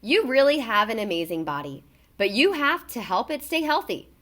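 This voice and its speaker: A woman with a fairly high voice reads a children's book aloud. Her intonation swings widely and she stresses words expressively, pitching the narration to young listeners. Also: normal speed. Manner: expressive, emphatic delivery for children.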